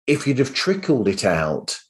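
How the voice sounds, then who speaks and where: A man's voice: mid-range voice, huge pitch swings, unhurried. Man chatting casually on a podcast. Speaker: podcast host.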